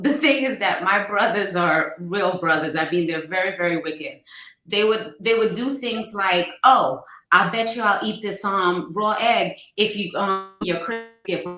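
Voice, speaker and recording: Interview guest, woman born in Guyana, chatty storyteller with a bright tone in a roomy space. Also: medium pitch. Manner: warm storytelling with fond anecdotes. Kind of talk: casual interview.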